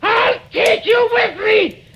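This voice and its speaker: A man playing a desperate, poisoned, murderous professor in a 1940s radio suspense drama, halting and gasping as he repeats himself. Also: very high-pitched, raised voice. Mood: frenzied threatening. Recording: noisy vintage 1940s radio recording.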